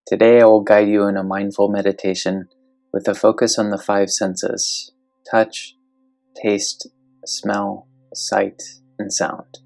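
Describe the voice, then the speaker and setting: Meditation guide: low register, gravelly fry, slow gentle instruction, faint music underneath. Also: little pitch movement.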